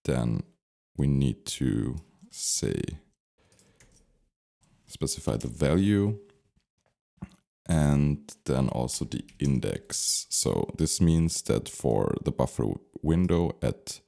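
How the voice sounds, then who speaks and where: A man giving an unscripted software tutorial, thinking aloud step by step as he instructs. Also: rumbling low, animated, slow pace, creaky.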